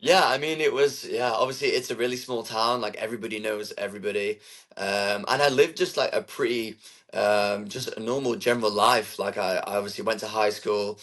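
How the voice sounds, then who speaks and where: A man, talking casually as an interview guest, relaxed and rambling with many fillers. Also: lowish voice, a little fast. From England. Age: 20s.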